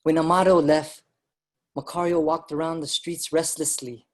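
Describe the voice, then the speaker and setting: A man's voice: fairly high pitch, level tone, normal speed, close to an everyday voice. An actor playing an earnest immigrant writer, a man in a dramatic staged reading. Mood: reflective. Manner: reflective storytelling, pleading when alarmed. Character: Allos, earnest, ailing Filipino immigrant writer, younger brother. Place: online virtual staged reading.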